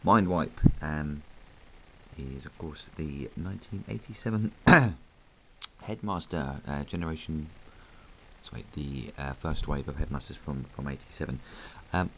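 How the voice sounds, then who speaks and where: A man with a croaky voice from hay fever, chatting casually and rambling a little as he reviews a toy. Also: extremely low pitch, measured pace, slight background noise, poor audio. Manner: chatty, rambling, full of ums.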